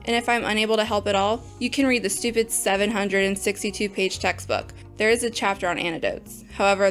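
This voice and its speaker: A woman's voice: mid-range voice, natural voice. A woman voicing an opinionated botany teacher who lectures bluntly and scoffs at textbooks. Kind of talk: audio drama acting.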